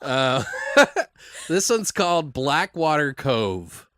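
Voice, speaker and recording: A man's voice: on the high side, big pitch swings, slow, fairly bright tone, no echo, clean studio sound. A man hosting a horror podcast in casual, joking, profane banter. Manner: informal, profane, frequent joking. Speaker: podcast host.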